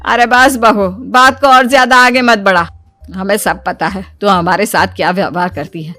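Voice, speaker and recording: A woman's voice: mid-range voice, fairly even intonation, normal speed, bright, forceful tone, no room sound, pitched below the performer's own voice, lightly acted. A stern older mother-in-law from a family story, put on by a woman. She is reproving and exasperated, talking like someone who has had enough. Music sits behind the voice.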